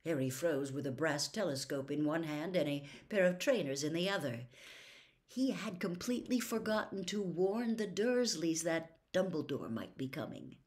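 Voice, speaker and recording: Older woman reading expressively, very varied pitch. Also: animated, normal speed, darkish tone. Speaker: storyteller. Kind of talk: expressive audiobook-style reading of a novel. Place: small furnished room at home.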